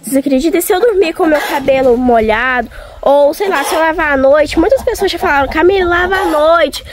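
Hoarse high-voiced young woman vlogging. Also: a little fast, noisy background. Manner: chatty, talking straight to viewers. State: hoarse from a cold. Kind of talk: casual vlog chat. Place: outdoors on a farm.

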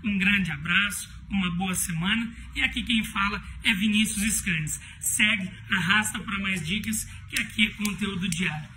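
A man's voice: high voice, heavy background noise. A man in his thirties from Brazil, a lawyer giving upbeat, emphatic daily tips to his phone camera outdoors under a patio. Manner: upbeat, emphatic, slows down on lists. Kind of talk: motivational selfie vlog. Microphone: phone at arm's length.

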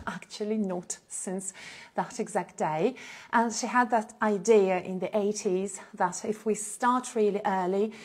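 Woman telling her story on a live stream. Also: mid-range voice. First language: Russian. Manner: animated, gestures a lot while talking, with frequent fillers and short laughs. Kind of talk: casual personal storytelling. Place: indoor room in front of a plain blue backdrop. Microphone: small clip-on lapel mic.

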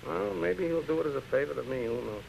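A man's voice: on the high side, lively intonation, fast talker, vocal fry, darkish tone. An actor playing an anxious theatrical agent in a 1930s radio drama, coaxing and stammering as he goes. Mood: coaxing, worried. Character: anxious, fast-talking male theatrical agent, placating and wheedling. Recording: muffled, hissy 1930s radio recording.

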